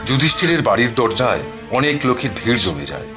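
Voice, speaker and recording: A man's voice: mid-range voice, big pitch swings, fast, music in the background. A man narrating a scripted story as its narrator.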